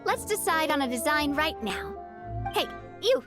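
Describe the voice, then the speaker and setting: A woman voicing a cheerful, confident young female boss in a video game, with a playful, breezy, expressive delivery. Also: sits high, unhurried. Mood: eager, commanding. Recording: music playing behind the voice.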